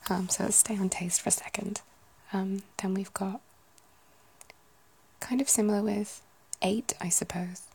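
A woman's voice: mid-range voice, steady tone, a little slow, darkish tone, slightly rough, poor audio. A woman in her thirties with a British English accent, whispering casual ASMR chat and musing to herself. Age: 30s. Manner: whispering, musing, self-correcting.

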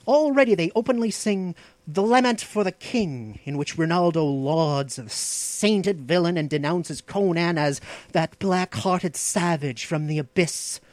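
A man's voice: fairly high pitch, a little slow, darkish tone, no echo, voice pushed up, lightly acted. A man voicing an ambitious, scheming outlaw in a fantasy audiobook, with an imperious, mocking, declaiming delivery. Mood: scornful. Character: ambitious, scheming, arrogant male outlaw plotter, impatient master. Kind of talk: dramatic fantasy audiobook dialogue.

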